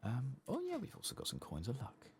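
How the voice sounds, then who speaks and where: A man quietly talking himself through a late-night game stream for ASMR listeners, hushed and casual, his low voice crackling with fry over faint background noise. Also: wildly swooping pitch, brisk. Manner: hushed, casual, thinking aloud. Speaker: gaming video host. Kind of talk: soft-spoken ASMR game commentary.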